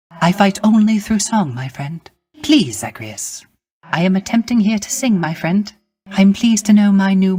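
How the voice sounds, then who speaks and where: A man playing a gentle, melancholy male musician of the underworld in a video game, speaking formally and courteously with wistful, poetic, old-fashioned phrasing. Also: high-pitched. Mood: hurt, meek, then humbly grateful. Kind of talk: scripted video game character acting.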